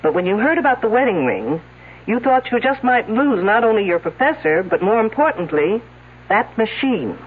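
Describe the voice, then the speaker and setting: A confident detective in a vintage radio mystery who needles everyone with sardonic quips. Her delivery is lively and bounces up and down in pitch. It sounds thin and tinny, like an old radio broadcast. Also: normal speed, husky. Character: wisecracking, confident female detective.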